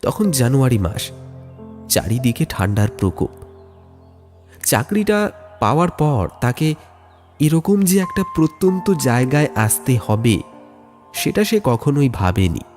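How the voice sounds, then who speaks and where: A man's voice: medium pitch, big pitch swings, unhurried, long pauses, darkish tone. A man narrating a scripted ghost story in the first person, telling it in a dramatic, suspenseful way. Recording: music bed under the voice.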